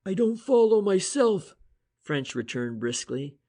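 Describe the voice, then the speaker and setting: An excitable inspector, lifted pitch, gravelly, slow and forceful. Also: male voice, full character voice. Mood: excited. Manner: eager, emphatic and commanding. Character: brisk, excitable male police inspector.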